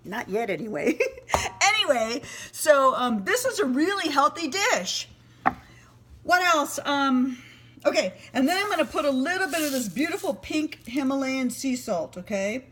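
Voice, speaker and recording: A woman's voice: high-pitched, big pitch swings, normal speed, a bit bright, slight background noise. A woman in her fifties chatting casually and rambling a little while she shows a dish in her home kitchen, laughing often. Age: 50s. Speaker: home cooking vlogger. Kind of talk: casual cooking demo talk.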